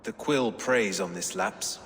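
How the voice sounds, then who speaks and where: A man's voice: mid-range voice, sing-song, slow pace, creaky, a bit bright, heavily acted. An actor playing a tactful, wry adviser to a king in a video game cutscene. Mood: wry, diplomatic. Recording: long reverb, faint ambient noise.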